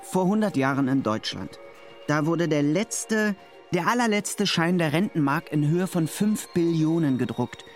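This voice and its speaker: Eager bit bumbling podcast guy, highish voice, jokey chat, faint music. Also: expressive, measured pace. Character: eager, slightly bumbling male podcast co-host. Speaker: podcast co-host. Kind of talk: scripted banter played as casual podcast chat.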